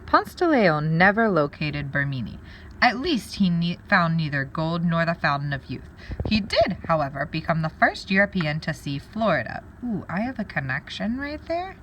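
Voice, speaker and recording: A woman teacher doing a read-aloud lesson, pausing to wonder aloud and explain words to her pupils. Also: lowish voice, big pitch swings, a bit bright, muffled recording, slight background noise.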